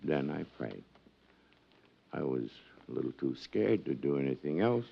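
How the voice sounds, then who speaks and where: Vague, pious dockside paper seller: very deep, rambling, stubborn, for a muffled fifties radio play. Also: male voice, big pitch swings, full character voice. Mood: vague, solemn. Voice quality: gravelly creaky voice. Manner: rambling, evasive, slow to answer. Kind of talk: 1950s radio drama acting. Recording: dull old radio recording.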